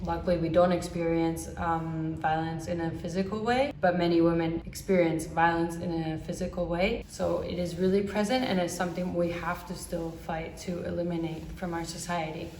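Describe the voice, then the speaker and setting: Distant low-voiced woman. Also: fairly even intonation, normal speed, hardly any pauses, darkish tone. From Spain. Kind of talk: interview answers. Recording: distant, echoey, faint background noise.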